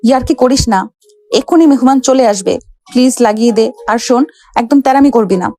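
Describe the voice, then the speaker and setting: Woman acting a proud flustered young bride, high-pitched. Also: a little fast, mellow, close to an everyday voice. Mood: bossy, urgent. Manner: bossy, flustered. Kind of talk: romantic audio story acting.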